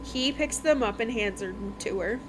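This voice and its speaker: A woman's voice: on the high side, moderate pace. A woman podcast host casually retelling a novel's plot in a chatty, conversational way. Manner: chatty, conversational, acts out dialogue in passing. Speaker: podcast host reviewing books. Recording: clearly noisy background.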